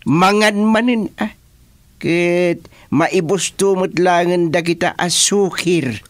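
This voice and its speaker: An actor playing an animated, teasing village man with an Ilocano accent in a comedy radio drama, given to exclamations. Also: high voice, slow, dry recording. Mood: gossipy, animated.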